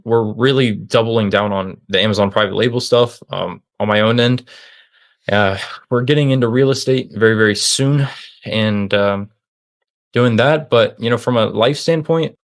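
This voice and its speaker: A man's voice: medium pitch, normal speed. Upbeat, rambling young man. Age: young adult, about 20. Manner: rambling, upbeat, full of fillers and restarts. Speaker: interview guest, student and online seller. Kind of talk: casual interview conversation.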